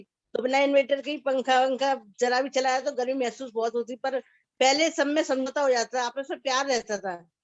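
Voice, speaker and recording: A woman fondly recalling the old days in a casual group chat, speaking haltingly with frequent restarts. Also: high voice, no room sound. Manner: nostalgic, halting, restarts often. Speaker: discussion guest. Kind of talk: casual reminiscing. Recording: dry, dull lo-fi call audio.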